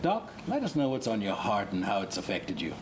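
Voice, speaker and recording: A man from the Bahamas with a Bahamian accent, hosting an unscripted interview and speaking in a halting, deliberate way. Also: lowish voice, huge pitch swings. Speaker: host and relief coordinator. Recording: clearly noisy room ambience.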